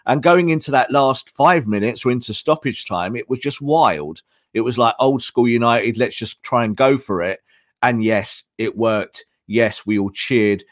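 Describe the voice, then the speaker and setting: A hoarse man analyzing football tactics on a podcast, emphatic and repeating his points. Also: medium pitch, unhurried, no echo, clean studio sound.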